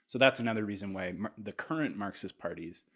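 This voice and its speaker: A man hosting a podcast, telling history in a casual, animated way, peppered with "like" and "right?". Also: on the low side, fast talker. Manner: animated, filler-heavy, keeps checking in with "right?". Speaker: podcast host.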